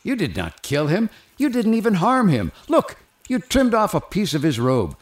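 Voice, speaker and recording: A man's voice: high voice, wildly swooping pitch, normal speed. A man playing a young follower in a Bible drama, questioning and protesting in puzzled disbelief. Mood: incredulous. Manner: incredulous, questioning. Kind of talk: dramatized Bible story acting.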